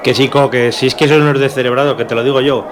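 A man's voice: medium pitch, fairly even intonation, very fast, close to an everyday voice. A man playing a brash, foul-mouthed young protester in a radio drama, blunt and emphatic, with an Aragonese accent. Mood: dismissive, brash. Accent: Aragonese Spanish. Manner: blunt, emphatic, heavy swearing. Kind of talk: amateur radio drama dialogue. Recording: noisy street-ambience recording.

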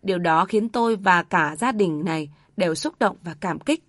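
Elderly ex-soldier father, calm and grieving, read by a woman. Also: lowish voice, no echo, studio quality, natural voice. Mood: sorrowful. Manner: calm, heavy, measured. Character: elderly father, a former soldier, sorrowful and steady.

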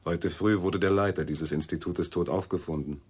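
An actor playing a young newspaper journalist in a German radio drama, casually inquisitive and wryly polite. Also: male voice, low-pitched, fairly even intonation, normal speed, a little mellow, slightly rough. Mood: probing, polite. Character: probing, wry young male newspaper journalist.

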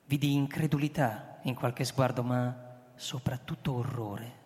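An actor playing a grave, resigned man who tells of a catastrophe in a sober, deliberate way, as in a radio drama. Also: medium pitch, steady tone, darkish tone, close to an everyday voice. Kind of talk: radio drama acting, first-person narration. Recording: long hall reverb.

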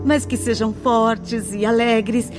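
An actress playing a vain, fussy young newlywed wife in a radio drama. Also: female voice, fairly high pitch, steady tone, slow pace, over music. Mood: dismissive, gushing.

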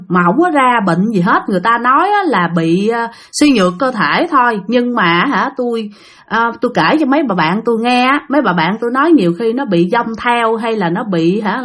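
A woman's voice: on the high side, a bit bright. A woman voicing a frightened grandmother who begs a spirit to spare her daughter. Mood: worried. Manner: pleading, tearful.

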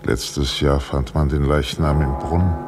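An actor playing a grave, ponderous man in a radio drama, speaking in a deliberate way. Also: extremely low pitch, flat delivery, music in the background. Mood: brooding. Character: grave, ponderous man puzzling over a child's disappearance.

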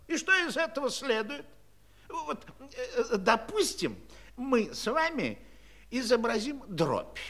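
A man's voice: very high voice, very animated, slow pace, a bit bright, exaggerated voice. An actor playing a fussy, pompous, condescending old council chairman, a living number, in a theatrical children's audio play. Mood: condescending, needling.

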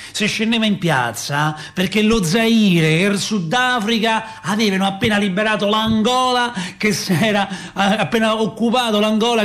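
A man's voice: high-pitched, a bit bright. An actor playing a working-class Roman father in a radio play, telling his memories in a colloquial, repetitive, emphatic way with a Roman accent. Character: working-class Roman father recalling 1970s street politics, wistful, impassioned. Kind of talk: radio theatre monologue.

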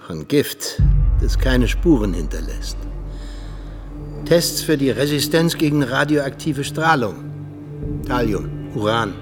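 An actor playing an embittered, world-weary older Soviet ex-agent and mentor in a radio drama, speaking emphatically. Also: male voice, mid-range voice, big pitch swings, slow pace, long pauses, over music. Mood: confessional. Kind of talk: radio drama acting, monologue-like confession.

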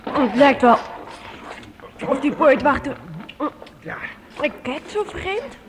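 An actress in a radio play, playing a soft-hearted woman among travelling medieval musicians. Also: sits high, animated, slow pace. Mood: concerned. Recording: noisy old radio recording, hall reverb.

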